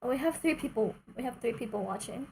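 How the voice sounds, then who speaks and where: High-voiced woman chatting over a game. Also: animated, fast, creaky, darkish tone, a little hiss or hum. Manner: chatty, playful, swears casually. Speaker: game streamer. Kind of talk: casual livestream gameplay commentary.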